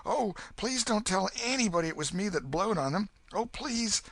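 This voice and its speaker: Scared young boy, high and pleading, put on by a male reader, lively and bright. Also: normal speed, no echo, exaggerated voice. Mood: begging.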